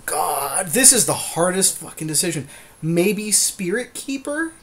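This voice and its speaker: Easygoing man with a higher voice. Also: big pitch swings, a bit bright. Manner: easygoing, agreeable, quick back-and-forth. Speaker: podcast co-host. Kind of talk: casual deckbuilding chat.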